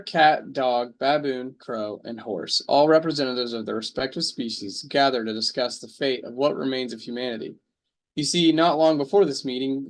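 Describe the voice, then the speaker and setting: A man narrating a radio drama. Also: mid-range voice. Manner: measured storytelling.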